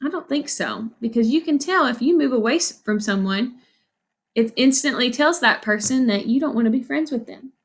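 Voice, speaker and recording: A woman's voice: on the high side, expressive, normal speed. A woman teacher reading a picture book aloud to children, explaining as she goes and asking questions that she then answers. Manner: instructive, asks questions and answers them. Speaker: elementary school teacher.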